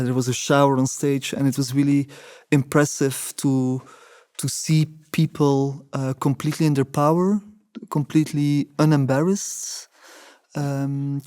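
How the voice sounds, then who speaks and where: A man in his forties whose first language is Dutch, talking through his past in a measured, reflective way. He pauses and restarts often as he searches for words. His voice is mellow and even, recorded dry and close. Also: mid-range voice, no room sound. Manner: thoughtful, many hesitations and restarts. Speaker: interviewee, choreographer. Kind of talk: reflective interview answers.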